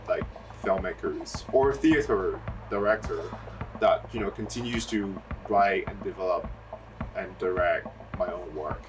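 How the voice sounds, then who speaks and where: Young man, lowish voice, loose and chatty, heard from a distance. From Hong Kong. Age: young adult. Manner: relaxed, rambling, full of "like" and "you know". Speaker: filmmaker interviewee. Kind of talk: casual interview answers. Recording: mic at a distance, music underneath. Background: background music.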